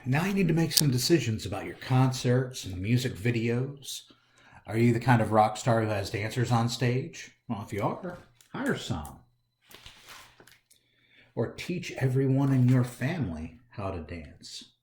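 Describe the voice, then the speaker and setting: An American man reads a picture book to young children in a roomy, echoing space. His delivery is theatrical, with pitch that swings widely. He takes his time and speaks straight to his listeners as a storytime host. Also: unhurried, a little hiss or hum. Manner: animated, theatrical, talks directly to young listeners. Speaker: library storytime host reading aloud. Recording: roomy, echoey indoor sound.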